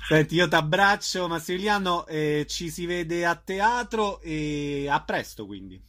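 Male interviewer, a bit high in pitch, informal and friendly radio hosting. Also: animated, a little slow, fairly bright tone.

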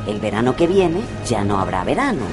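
An actress with a Castilian Spanish accent playing a bossy, sardonic, easily flustered secret-agent commander in a comic radio drama. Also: female voice, rumbling low, big pitch swings, fairly quick. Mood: wry, resigned. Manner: theatrical mock-military orders slipping into colloquial grumbling. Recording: loud music behind the voice.

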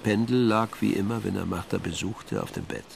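An actor as the detached narrator of a German spy radio play, delivering terse, measured scripted storytelling. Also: male voice, fairly low pitch, big pitch swings, gravelly, background noise, heavily acted.

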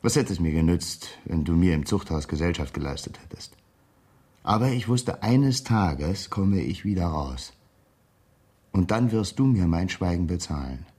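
A man acting in a radio drama as a cold ex-convict blackmailer, speaking in a measured, threatening and insistent way. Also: low voice, lively intonation, a little mellow, slightly rough.

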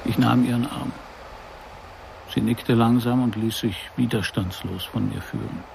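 A man's voice: medium pitch, fairly even intonation, measured pace, vocal fry, background noise. An actor playing a middle-aged private detective in a noir radio play, narrating his case in a dry, laconic, sardonic way. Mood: cool, probing. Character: hard-boiled male private detective narrating his case, wry and probing.

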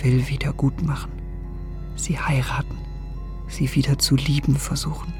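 A man playing a vain, brooding young gentleman in a radio drama, speaking intensely and inwardly, partly in whispers. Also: medium pitch, little pitch movement, moderate pace, music in the background, natural voice. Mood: anguished resolve.

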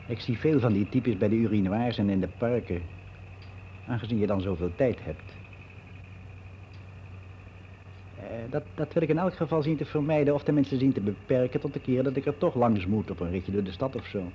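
A man's voice: medium pitch, fast talker, warm tone, a little hiss or hum, natural voice, low-quality recording. An actor playing a candid, reflective older man, confiding matter-of-factly in a conversational radio drama monologue. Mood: matter-of-fact, musing. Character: candid, reflective ageing man, worldly and unbelieving.

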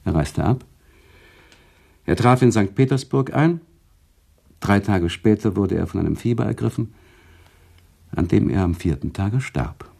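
A sober author telling his tale in a radio drama. His low, mellow voice is husky and creaky, delivered with measured restraint. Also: expressive, full character voice. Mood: sober, reflective. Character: reflective male author-narrator, a sober, sympathetic confidant. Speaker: narrator. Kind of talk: radio drama narration and dialogue.

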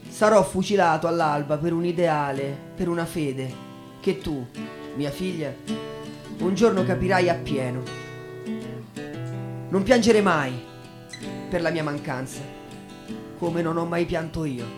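A man with a fairly high voice reads dramatically, as a narrator on stage would. His phrasing is solemn and measured, and acoustic guitar plays clearly behind him. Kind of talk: theatrical dramatic reading over music. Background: acoustic guitar music.

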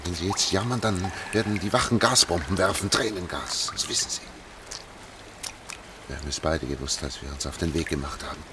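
An actor in a German radio play playing a sober, methodical older man, speaking in a hushed, deliberate way. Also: deep voice, natural voice. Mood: tense, controlled. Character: sober, methodical older man guiding a girl through sewers. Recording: noisy track with trickling water. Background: running, dripping water.

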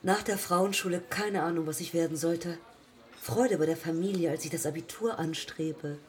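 A woman's voice: lowish voice, normal speed, a little mellow, lightly acted. An actress playing an eager, headstrong young woman pilot of the 1920s in a radio play, telling her story reflectively in clipped fragments. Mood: wry. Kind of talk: radio play monologue and dialogue.

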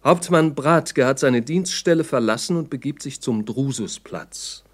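Guy narrating old war radio play, sober reporting style, medium pitch. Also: animated, a little slow. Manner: sober, matter-of-fact.

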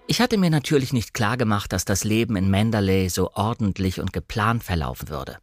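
A man reading a novel aloud as a shy, insecure young woman recalling her memories in an earnest, measured literary delivery. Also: on the low side, expressive, no echo, faint music behind. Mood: reflective, intimidated. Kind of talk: first-person audiobook narration of a novel.

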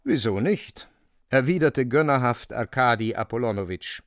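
A male radio-play narrator with a gravelly, very lively delivery. He tells the story wryly and with relish, close and dry. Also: medium pitch, moderate pace, no room sound.

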